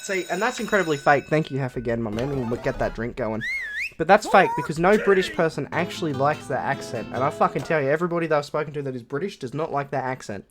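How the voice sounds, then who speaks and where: A young man with an Australian accent chats on a live stream, swearing and joking in a laid-back way. His voice sits fairly high for a man. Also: lively intonation, quick pace, music in the background.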